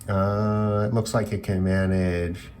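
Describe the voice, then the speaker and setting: A man's voice: fairly low pitch, flat delivery, takes it slowly, a little mellow, a little hiss or hum. A man giving unscripted commentary as a hobbyist taking electronics apart, musing and tentative as he thinks aloud. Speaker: hobbyist reviewer of radios.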